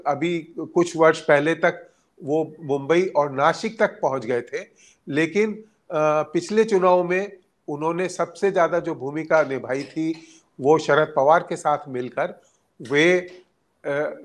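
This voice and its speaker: A man giving unscripted political analysis as a journalist, speaking haltingly with hesitant pauses mid-sentence. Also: a little high.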